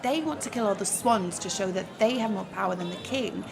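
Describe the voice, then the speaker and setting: Creaky-voiced, opinionated young woman, played by an actress. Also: mid-range voice, expressive, brisk, a crowd in the background. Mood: confidently explaining. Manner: confidently misinformed, breezy, casual. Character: gullible, opinionated young woman spouting muddled facts. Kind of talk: conversational audio drama, satirical comedy.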